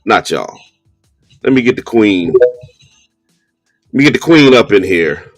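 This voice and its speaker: Slow-talking radio host man, dry studio sound. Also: on the low side, lively intonation, dry recording, faint music behind. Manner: measured, pauses with many ums. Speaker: radio talk show host. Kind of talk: call-in radio talk.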